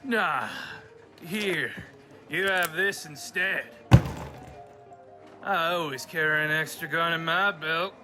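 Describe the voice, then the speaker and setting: A student actor playing a belligerent gunslinging bully in an amateur western radio play, with taunting, folksy talk. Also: male voice, on the high side, slow pace, long pauses, over music. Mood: goading. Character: belligerent, goading gunslinging bully picking a fight.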